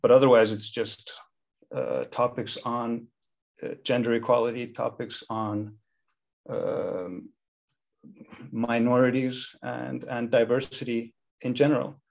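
A man's voice: mid-range voice, fairly even intonation, slow pace, creaky. A man in his thirties from Iceland, a university lecturer talking thoughtfully in a video-call panel, with many restarts. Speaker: university lecturer and equality committee chair. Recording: slightly dull video-call audio.

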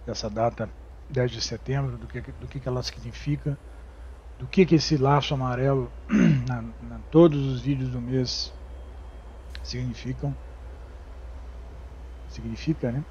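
A Brazilian man with a Brazilian Portuguese accent, chatting casually over gameplay, halting, with many fillers and restarts. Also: mid-range voice, fairly even intonation, darkish tone, dull audio, a little hiss or hum. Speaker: gaming YouTuber. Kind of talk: casual game commentary.

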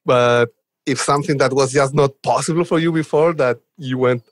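A man's voice: medium pitch, moderate pace, dry recording. A man from Chile, a podcast guest, explaining technology conversationally and enthusiastically with vivid examples. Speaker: podcast guest, cloud developer advocate.

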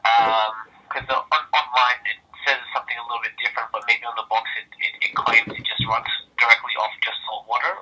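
A man's voice: medium pitch, level tone, fast talker, hardly any pauses, pushed and bright, husky. Man on a muffled phone line. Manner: polite, agreeable, hesitant. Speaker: company phone representative. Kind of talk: customer service call.

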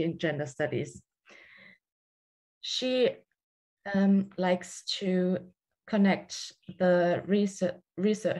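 A woman's voice: fairly low pitch, darkish tone, low-quality recording. A young woman moderating an online panel, introducing the speakers with frequent hesitations, over a headset on a video call. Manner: halting, with fillers.

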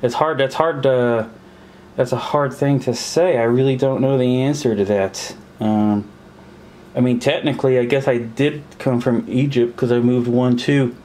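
A man's voice: mid-range voice, steady tone, measured pace, darkish tone. A man playing a war board game at a table, counting pieces aloud and puzzling over the rules as he goes. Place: indoors at a table.

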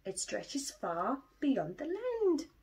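A woman voicing an excited little boy bear cub who keeps asking if they are there yet, in an eager, pleading way. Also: sits high, slow, voice pushed up, full character voice. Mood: impatient.

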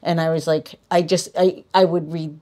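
Low-pitched woman hosting a podcast. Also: flat delivery, moderate pace, mellow. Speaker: podcast host. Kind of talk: casual interview chat.

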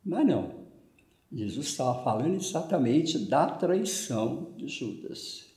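A man's voice: medium pitch, big pitch swings, measured pace, warm tone. An older man with a Brazilian Portuguese accent, a parish priest giving a conversational homily, often adding "né" as he talks. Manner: conversational, frequent "né" tag questions, gestures with hands. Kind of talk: homily on the gospel. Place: indoors at home, small room. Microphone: fixed camera at medium distance. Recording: echoey room.

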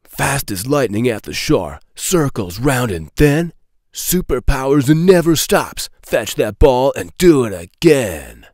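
A man in his thirties reading a children's storybook aloud with playful, expressive emphasis. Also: medium pitch, a little slow, dry recording, studio quality. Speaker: read-aloud host.

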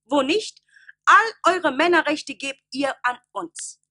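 A woman's voice: high-pitched, lively intonation, slow pace, pushed and bright, heavily acted. A woman playing an assertive village mayoress in a German radio play, declamatory and demanding. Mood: stern, demanding. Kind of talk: German stage-style radio play acting.

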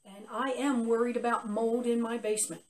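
A woman's voice: on the high side, flat delivery, a little fast, distant mic, a little hiss or hum. An older woman giving an unscripted customer testimonial in an interview, recorded in a basement a few feet from the camera. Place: cluttered unfinished basement.

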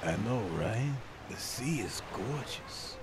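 A man's voice: medium pitch, lively intonation, slow pace, background noise. A man playing a grumpy, sardonic skeleton royal guard captain in a comic dub. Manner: dry, sardonic. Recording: music behind the voice.

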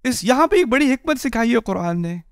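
A man in his forties preaching with animated energy, his voice sitting high for a man. He walks the stage and acts out the people in his story, and the headset mic keeps the sound close and dry. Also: a little fast, vocal fry, no echo. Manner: animated, gestures widely while pacing a stage. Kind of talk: sermon. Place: indoor stage before a patterned backdrop.

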